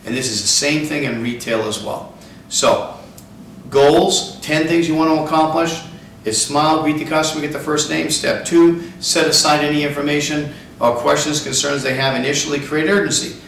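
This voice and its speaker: A man's voice: fairly high pitch, steady tone, moderate pace, creaky, fairly bright tone. A man working as a car sales trainer gives an instructional talk, explaining emphatically step by step. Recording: echoey room, distant mic, faint noise.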